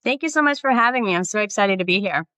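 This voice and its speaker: Woman from brazil talking earnestly in an interview, smooth crisp voice, lots of "you know". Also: medium pitch, animated, quick pace, pushed and bright, no room sound. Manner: earnest, reflective, with frequent "right?" and "you know". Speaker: guest, nonprofit founder. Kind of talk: conversational interview.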